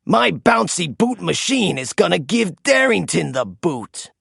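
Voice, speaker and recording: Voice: medium pitch, sing-song, a little slow, gravelly, a bit bright, husky, no room sound, studio quality, heavily acted. A narrator voicing a jealous, scheming male monster truck villain in a children's storybook.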